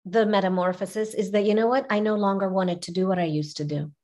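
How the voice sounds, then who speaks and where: A middle-aged woman whose first language is Arabic, telling personal stories as an interview guest in an expressive way, repeating phrases for emphasis. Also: mid-range voice, quick pace. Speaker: podcast guest, image consultant. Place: small furnished room indoors, video call. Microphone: video call, seated at webcam distance.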